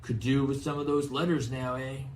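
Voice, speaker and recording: A man's voice: medium pitch, steady tone, moderate pace, a little mellow, reverberant, far from the mic, slight background noise, lightly acted. A man voicing a bossy, manically cheerful middle-aged uncle in a storybook reading. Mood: gleeful, bossy.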